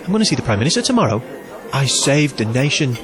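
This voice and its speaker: A man's voice: mid-range voice, big pitch swings, quick pace, crowd noise, natural voice. An actor playing an awkward, eager young man who talks nervously and rambles, in an audio drama. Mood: cheerful.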